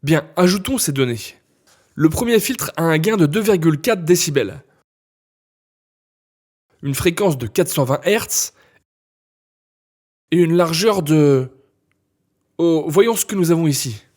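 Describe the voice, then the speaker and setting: Male instructor, slightly high husky voice, scripted step-by-step software tutorial read. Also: expressive, unhurried, pauses often.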